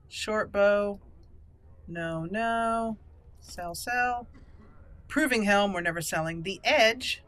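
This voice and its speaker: A woman's voice: on the low side, fairly bright tone. A woman giving casual commentary while she plays and roleplays through a video game. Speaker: gaming channel host playing a roleplay.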